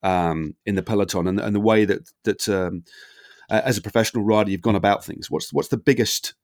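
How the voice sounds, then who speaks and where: A man's voice: lowish voice, fairly quick, darkish tone, dry recording, clean studio sound. A man hosting an interview, asking long, rambling questions full of fillers. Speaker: interviewer, podcast host. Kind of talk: casual interview.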